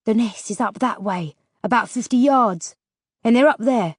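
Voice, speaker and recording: A woman's voice: a little high, normal speed, slightly rough, dry recording. A wary young woodsman with clipped, rustic phrasing, played by a woman narrator. He is an audiobook character, recorded very dry and close.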